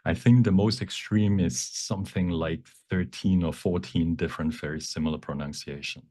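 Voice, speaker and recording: A man teaching a live software demonstration, explaining step by step in a halting, self-repeating way and switching between two languages. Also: low voice, steady tone. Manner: bilingual, switches between English and Cantonese. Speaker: workshop presenter, instructor.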